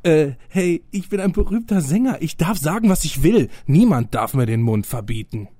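A man's voice: a little high, very animated, moderate pace, warm tone, no room sound, clean studio sound. A man playing an exasperated, cocky sidekick in a comic audio drama, whiny and indignant.

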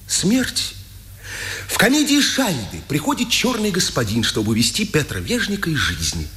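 A man's voice: a little high, huge pitch swings, normal speed, a bit bright. An actor playing an imprisoned resistance man facing execution, theatrical, poetic and intense, in a Soviet radio drama of the 1950s. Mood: impassioned, reflective. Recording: vintage radio recording with hiss.

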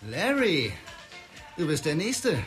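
An actor playing a brisk, cold male dentist who gives curt commands, in a radio drama. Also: a little high, big pitch swings, music in the background.

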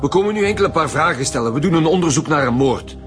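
An actor playing a stern head inspector who questions suspects, with a Flemish accent, in a radio drama. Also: male voice, on the high side, lively intonation, quick pace, music in the background, natural voice. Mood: pressing. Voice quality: brusque, pressing.

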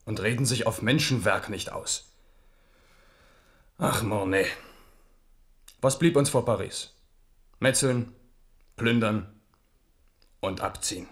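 A man's voice: medium pitch, slow pace, long pauses, lightly acted. Actor playing brooding, ironic warrior king. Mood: brooding, uneasy. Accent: standard German. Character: restless, self-doubting warrior king of Renaissance France. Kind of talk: radio play acting.

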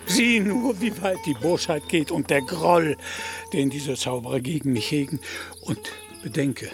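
A man's voice: fairly high pitch, measured pace, music in the background. A man in a German radio play acting a solemn, deluded, lovelorn knight in a grandiloquent, plaintive manner. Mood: lamenting.

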